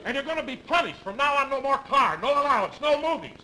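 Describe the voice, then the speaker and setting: High-voiced, blustering American father. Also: lively intonation, quick pace. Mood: exasperated, angry. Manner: emphatic, blustering. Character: proud, pushy announcer father with a short temper. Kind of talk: 1950s television comedy sketch acting. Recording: noisy 1950s television broadcast with audience.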